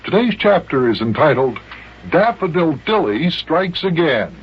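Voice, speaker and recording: A man hosting and narrating a 1950s children's radio story, with measured, deliberate phrasing and pauses. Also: fairly high pitch, sing-song, slightly rough. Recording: muffled, noisy old radio recording.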